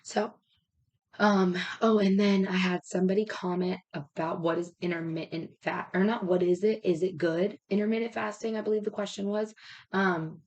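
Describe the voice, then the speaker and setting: A woman with a raspy voice that is giving out, talking casually as a fitness coach and explaining step by step in an informal, rambling way. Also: mid-range voice, fairly even intonation, moderate pace, a little mellow. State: raspy, losing her voice.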